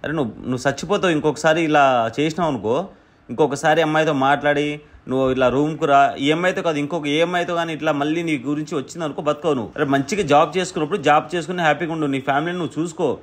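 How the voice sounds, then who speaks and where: A man with a slightly high voice argues on a phone call at a rapid pace. He scolds and threatens the other party, hammering the same demands again and again. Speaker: prank-call host posing as the woman's brother. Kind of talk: confrontational phone argument.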